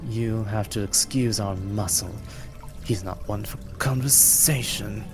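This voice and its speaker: A man's voice: on the low side, measured pace, over music, close to an everyday voice. A man voicing an impatient, authoritative elven woman villain in a tabletop fantasy game, condescending and coolly amused. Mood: amused contempt.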